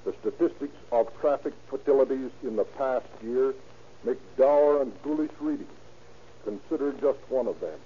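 A man's voice: medium pitch, normal speed, slight background noise. Formal American policeman, muffled 1930s radio. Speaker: police captain, guest speaker. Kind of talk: formal scripted public address.

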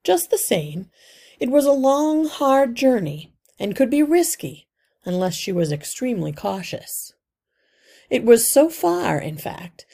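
A woman reading a children's novel aloud as scripted narration, in a careful, expressive storytelling manner. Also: a little high, vocal fry, breathy edge.

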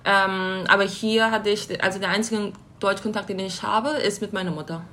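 Woman, 20s, relaxed interview guest, light laughs, faint background rumble. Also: moderate pace. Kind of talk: casual interview chat. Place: her home in a city flat.

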